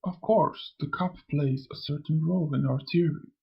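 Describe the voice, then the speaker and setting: A man voicing a gravelly, sly old spirit. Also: on the high side, normal speed, dull audio, full character voice. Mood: storytelling, measured. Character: sly, amused old male spirit who preaches and taunts. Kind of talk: scripted motion comic dialogue.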